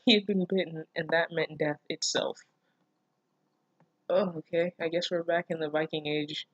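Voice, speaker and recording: A woman's voice: low-pitched, fairly even intonation, moderate pace, no echo, lo-fi sound. A woman reading fan fiction aloud and reacting casually, with sarcastic, incredulous, foul-mouthed interjections.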